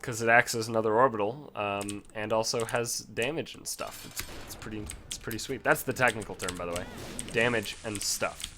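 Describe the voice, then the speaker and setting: A man casually commentating his own gameplay, swearing freely and bursting into frustrated yells. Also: fairly low pitch, moderate pace, noisy background. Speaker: gaming commentator. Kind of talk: casual let's play commentary.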